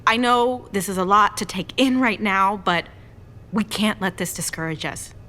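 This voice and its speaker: An actress playing a stressed, stubborn young Mexican American restaurant owner in an audio drama, exasperated and expressive. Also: female voice, medium pitch, moderate pace, lightly acted. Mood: resigned, determined. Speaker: lead actress in romcom drama.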